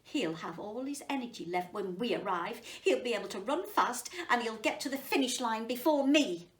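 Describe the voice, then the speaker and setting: A big, earnest ox from a children's storybook as a woman reader plays it, grumbling and exclaiming with indignation. The voice is on the high side. It sounds roomy and slightly distant from the mic. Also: expressive, moderate pace, close to an everyday voice.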